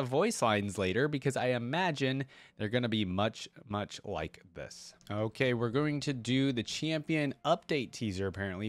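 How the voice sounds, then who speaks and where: A man hosting a reaction video, commenting casually in a laid-back, slangy way as he thinks aloud. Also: on the low side.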